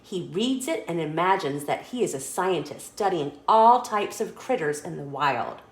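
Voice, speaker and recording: Animated woman reading a children's storybook. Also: fairly low pitch, moderate pace, reverberant. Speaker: children's story reader and host. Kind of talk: expressive read-aloud narration of a children's picture book.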